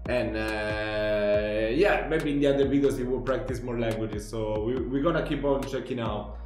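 Young italian dude doing reactions, thick accent, echoey room, music playing under. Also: male voice, medium pitch, normal speed. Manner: laughs often, animated, talks with his hands. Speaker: reaction channel host. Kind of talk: casual reaction commentary. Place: small room at home, indoors.

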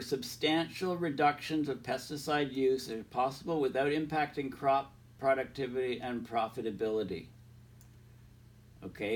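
A man's voice: mid-range voice, recorded from a distance. A man with a cold, his voice a bit off, explaining research papers in a rambling way, reading passages aloud with many ums and restarts. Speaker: climate science video host. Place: indoors in front of a large projected screen.

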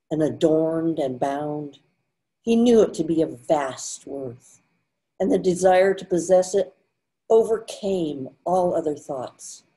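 An older woman narrating a readers theater story in a measured way over a video call. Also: deep voice, dark tone, rough recording. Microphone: video call webcam.

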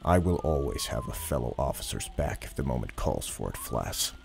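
Casting: male actor for weary, principled detective, low gravel, dry and terse, audio drama. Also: sing-song, moderate pace, slight background noise, exaggerated voice. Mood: stern, dry.

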